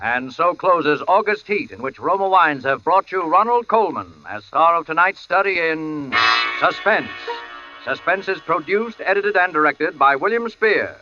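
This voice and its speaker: A man announcing a 1940s radio drama series in a formal, measured style. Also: on the high side, expressive. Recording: dull old radio broadcast, music behind.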